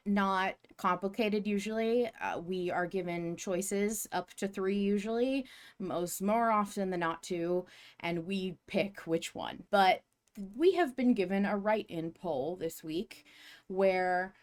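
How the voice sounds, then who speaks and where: A woman hosting a podcast, chatting and explaining in an informal, playful, expressive way. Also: medium pitch, takes it slowly. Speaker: podcast host. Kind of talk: casual fan chat and explanation.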